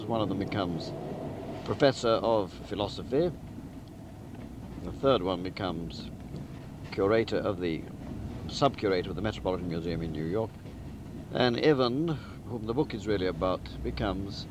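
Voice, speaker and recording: A man giving a deliberate, emphatic and articulate spoken summary of a story, like a novelist outlining a book. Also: lowish voice, noisy background. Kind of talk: spoken plot summary, literary talk.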